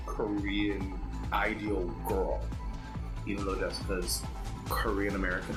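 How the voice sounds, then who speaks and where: A man co-hosting a podcast, chatting casually and giving opinions with lots of "like" filler. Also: low voice. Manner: conversational, opinionated, peppers speech with "like". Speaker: podcast co-host. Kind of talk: casual K-pop podcast chat.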